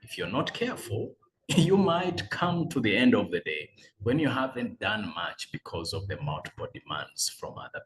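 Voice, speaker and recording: A man, a business coach giving earnest, measured motivational advice as numbered tips. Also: mid-range voice, a bit bright. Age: 40s. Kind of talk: motivational talk read as a letter.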